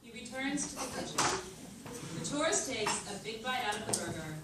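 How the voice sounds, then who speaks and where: A woman's voice: fairly high pitch, expressive, moderate pace. A woman reading scripted screenplay narration aloud at a live staged reading. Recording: echoey room, distant mic, background noise.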